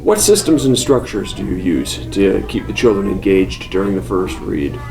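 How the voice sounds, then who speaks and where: A man's voice: low voice, lively intonation, normal speed, gravelly, a little mellow, faint music behind, poor audio. A man asking unscripted interview questions and commenting, hesitant and rephrasing as he goes.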